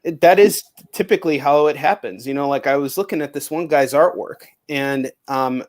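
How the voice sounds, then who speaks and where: A man hosting a casual interview, speaking earnestly, agreeing often and filling pauses with restarts. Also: fairly high pitch, darkish tone. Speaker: host.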